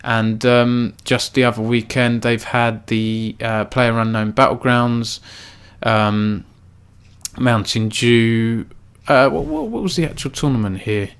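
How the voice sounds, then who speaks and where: A man talks through the week's esports news in a relaxed, unscripted way. His delivery stays fairly flat and monotone, and he often pauses on filler words as he thinks aloud. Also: mid-range voice, clean tone. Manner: self-described monotone, filler-heavy delivery. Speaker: esports news editor and host. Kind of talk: casual weekly news roundup.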